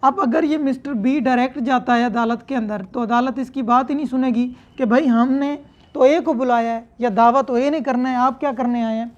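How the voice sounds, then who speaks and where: A man's voice: very high-pitched, steady tone, moderate pace. A man with a Pakistani accent giving a law lecture, explaining step by step in a didactic manner with English legal terms mixed in. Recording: dull, lo-fi lecture audio.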